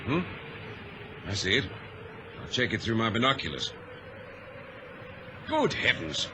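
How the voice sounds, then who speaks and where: A man's voice: fairly low pitch, wildly swooping pitch, measured pace. A man playing a concerned, commanding ship's captain in an old radio drama, giving clipped orders with authority. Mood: alert. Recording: noisy vintage radio recording.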